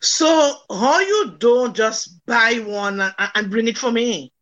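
A woman acts as a sceptical older Dominican villager in a drama. Her high voice takes its time, dry and mocking, teasing with a Caribbean accent. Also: slow pace, a bit bright, lo-fi sound. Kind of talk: dramatised dialogue in Caribbean creole English.

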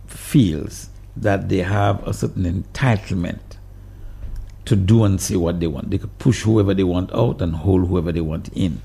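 A male radio host with a low, husky voice that has a gravelly edge. He asks questions and steers the discussion in a measured way, now and then turning to take callers. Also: dark tone. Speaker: radio talk show host. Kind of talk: call-in radio talk.

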